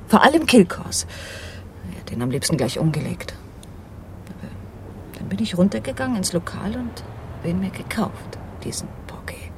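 A cunning femme fatale in a 1980s radio crime drama. She speaks with cool flirtation, slipping into soft murmurs and asides. There is clear noise on the recording. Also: medium pitch, very animated, normal speed, long pauses. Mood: confiding, coolly casual. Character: seductive, cunning female swindler confessing to a detective.

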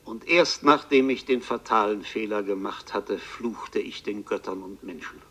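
An actor playing a haunted Parisian bookseller, narrating his fantastical ordeal in a literary, deliberate and intense radio play style. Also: lowish voice, a bit bright. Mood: fatalistic, dramatic. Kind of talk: dramatic first-person radio play narration.

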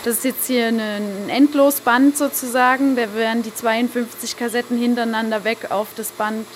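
Woman showing someone round her workplace, highish voice, bit of hiss behind. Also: steady tone, normal speed. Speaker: cassette production worker, interviewee. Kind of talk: spontaneous explanation on a work tour. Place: small indoor production room. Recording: hissy background noise of production room. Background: hiss and room noise.

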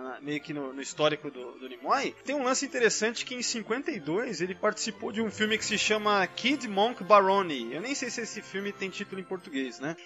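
A man's voice: high voice, sharp tone, muffled recording. A Brazilian man in a casual podcast discussion, rambling and constantly adding little tag questions. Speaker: podcast panelist. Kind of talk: casual chat, film and TV trivia discussion. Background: background music.

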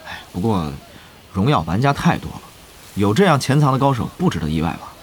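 Lively, effusive guild leader. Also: male voice, lowish voice, fairly quick, a little hiss or hum. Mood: rueful. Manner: effusive, chummy, exclamatory. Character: affable, scheming male online guild leader, eager to please. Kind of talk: audio drama acting.

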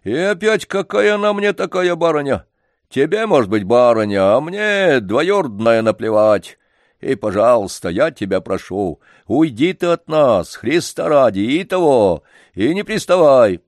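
A man's voice: medium pitch, sing-song, measured pace, no room sound, clean studio sound, voice pushed up. A man reading an audiobook, voicing a proud, stubborn old grandfather who scolds and refuses. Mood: gruff. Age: over 60 (figure).

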